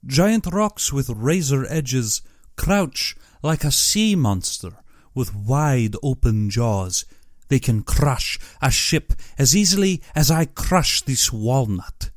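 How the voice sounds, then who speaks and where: A man's voice: medium pitch, animated, takes it slowly, dry recording, clean studio sound, pitched above the performer's own voice. A man voicing a genteel, menacing general in an audiobook, with pompous and exaggeratedly courteous speech. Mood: boastful. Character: genteel, menacing aristocratic general who hunts men.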